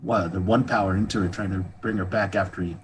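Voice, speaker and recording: A man's voice: deep voice, fairly even intonation, fast, a little hiss or hum. A man making casual suggestions as a fan panelist in a group chat.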